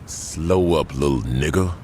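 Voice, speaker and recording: An actor playing a cold, commanding gang boss in a gritty crime video game, blunt and full of street slang. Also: male voice, a bass voice, a little slow, warm tone, a little hiss or hum. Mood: firm, commanding.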